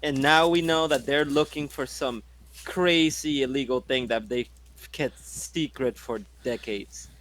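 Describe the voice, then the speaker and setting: A man's voice: mid-range voice, fairly bright tone, slight background noise. Male gamer, medium register, blunt conversational delivery at a role-playing table. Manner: blunt, sweary. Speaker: tabletop game player. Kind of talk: casual in-character discussion at a tabletop game.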